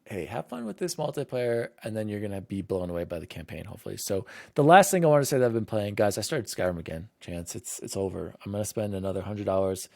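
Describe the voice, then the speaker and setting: A man's voice: fairly low pitch, lively intonation, fast, vocal fry, hardly any pauses, dark tone, dry recording, studio quality. A man hosting a casual gaming podcast, talking in an informal, enthusiastic, rambling way. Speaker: podcast host.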